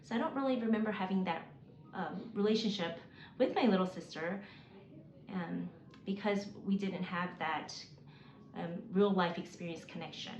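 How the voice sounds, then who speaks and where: A woman in her forties, raised in Mississippi, working through a prepared list of reasons in an earnest, measured way with occasional restarts. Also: on the low side, a little mellow. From South Korea, raised in Mississippi. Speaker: homeschooling mom. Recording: roomy, slightly dull, faint hiss.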